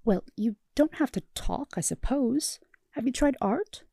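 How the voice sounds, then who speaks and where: A woman's voice: on the high side, expressive, measured pace, creaky, no room sound, clean studio sound, voice pushed up, heavily acted. A woman voicing an eager, strained-polite male robot therapist in a fan audiobook. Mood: encouraging. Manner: bright, encouraging, strained politeness.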